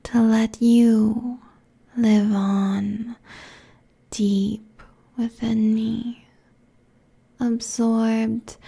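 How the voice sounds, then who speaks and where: A woman voicing a seductive, merciless demon queen in an intimate ASMR roleplay, with a breathy, husky, commanding delivery close to a binaural microphone. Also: on the high side, dark tone, full character voice. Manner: slow, commanding, sultry, deliberate. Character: seductive, merciless succubus demon queen draining mortal souls.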